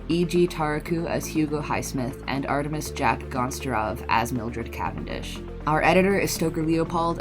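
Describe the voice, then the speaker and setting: Woman doing scripted outro credits, kinda low voice, music playing behind her. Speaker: credits announcer. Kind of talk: scripted podcast credits read.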